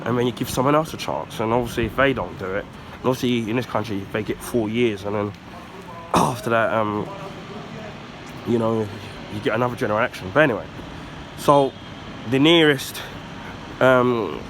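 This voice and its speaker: A man from the UK with a husky, congested voice from the flu, giving a casual rambling rant with many ums and throat clearing. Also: medium pitch, normal speed, background noise. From United Kingdom. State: husky, recovering from flu, blocked nose. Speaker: YouTuber.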